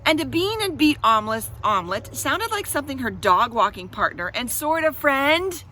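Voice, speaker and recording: A woman reading a children's story aloud with expressive, dramatic emphasis and chatty asides to her listeners. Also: high-pitched, normal speed, a little hiss or hum.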